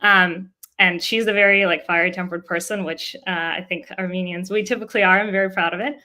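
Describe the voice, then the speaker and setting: A woman's voice: lowish voice, fairly even intonation, brisk, bright, forceful tone. A young woman from Russia whose first language is Russian, a podcast guest telling her family story earnestly and openly, with many fillers. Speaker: guest, startup co-founder. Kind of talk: podcast interview, personal story.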